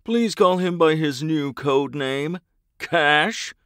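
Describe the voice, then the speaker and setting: A man's voice: a little high, lively intonation, slow, fairly bright tone, no echo, studio quality. A man playing a pompous, stubborn superhero in a comedy audio drama, grandiose and dismissive as he declaims. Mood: grandly boastful. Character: pompous, stubborn male superhero, theatrical and self-important.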